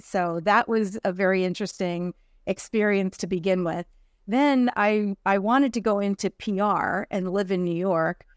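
A middle-aged woman speaks as a guest on a podcast, chatty and relaxed as she tells stories about her life. Her voice is smooth and clear, and it is recorded close up in a dry room with no echo. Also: medium pitch. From New Jersey. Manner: chatty, storytelling, laughs easily. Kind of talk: casual interview.

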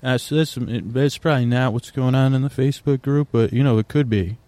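A man hosting a sleep podcast, talking in a meandering, halting way full of restarts and fillers, recorded on location in a quieter corner of an airport. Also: medium pitch, fairly even intonation, no echo. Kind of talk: rambling bedtime podcast talk.

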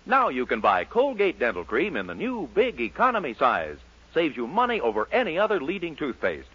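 A man's voice: on the high side, huge pitch swings, brisk, a bit bright, husky. An American man announcing on a 1940s radio show with polished, emphatic scripted salesmanship. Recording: muffled 1940s radio broadcast recording.

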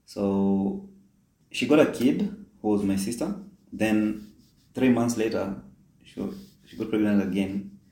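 A man in his twenties from Kenya telling his life story in a halting way, with many pauses and restarts. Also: lowish voice, warm tone, roomy sound, rough recording. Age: 20s. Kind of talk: personal storytelling.

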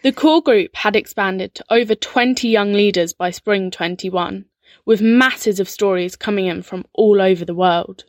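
A woman giving measured, earnest scripted narration for a documentary. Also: mid-range voice, dry recording, clean studio sound.

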